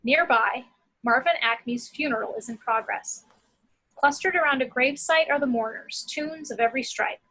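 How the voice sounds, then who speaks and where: Female narrator for screenplay action lines, bright and forceful with some rasp. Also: sits high, moderate pace, poor audio. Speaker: action-description reader.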